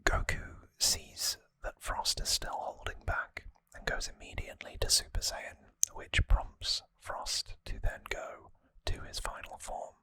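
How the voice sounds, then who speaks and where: An ASMR host speaks entirely in a crisp, bright whisper. The delivery is slow, gentle and a little hesitant, like an informal recap of trivia. The recording is close to the microphone. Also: dry recording, low-quality recording. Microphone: close microphone, dry sound.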